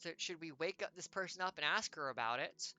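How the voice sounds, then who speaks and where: A woman's voice: deep voice, expressive, brisk, pushed and bright, dry recording. A woman streamer reading a choose-your-own-adventure game aloud, with playful, wry jokey asides.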